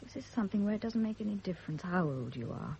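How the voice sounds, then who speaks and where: An actress playing a devoted, anxious young wife in a radio drama, earnest and apologetic. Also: female voice, medium pitch, expressive, fast, gravelly, dark tone. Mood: tender, wistful. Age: young adult. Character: anxious, devoted young pregnant wife, self-blaming and emotional. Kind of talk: period radio drama acting. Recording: dull, noisy old radio drama recording.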